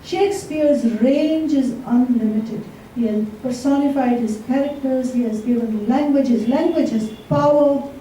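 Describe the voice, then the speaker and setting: A woman's voice: high voice, measured pace, mellow. A woman giving an effusive, emphatic introduction at a live literary event, savoring her phrases. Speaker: event speaker introducing readers. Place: large echoey room at a live event. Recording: echoey hall, distant mic, faint noise.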